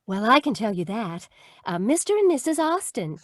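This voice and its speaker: Woman acting an animated, gushing radio sweetheart. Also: a little high, normal speed, no echo. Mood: chatty, eager. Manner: gushing, chatty, eager. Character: chatty, admiring, excitable female sweetheart of detective. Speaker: actor. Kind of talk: old-time radio comedy-mystery acting. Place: live online video call.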